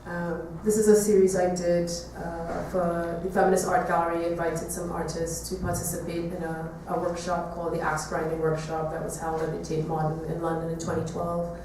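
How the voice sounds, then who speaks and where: A woman from Trinidad giving an artist talk to an audience, speaking reflectively with hesitant pauses. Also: lowish voice, monotone. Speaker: visual artist giving a presentation. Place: echoey room, talk to an audience. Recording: echoey room, distant mic, faint noise.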